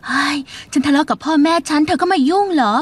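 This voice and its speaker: A woman's voice: sits high, fast, no echo, lightly acted. An actress voicing a stubborn young woman who defends her boyfriend in a dubbed drama, speaking defensively and accusingly.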